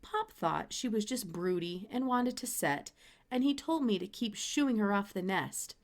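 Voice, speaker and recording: A woman reading a children's storybook aloud in an expressive narrating style. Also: medium pitch, unhurried. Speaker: storybook reader.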